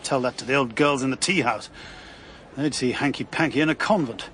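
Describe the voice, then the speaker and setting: An actor playing a worried, caustic political party organiser in a radio drama. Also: male voice, medium pitch, a little fast, a bit bright, a little hiss or hum, close to an everyday voice. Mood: worried, exasperated. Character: worried, cynical male party organiser, gossipy and exasperated.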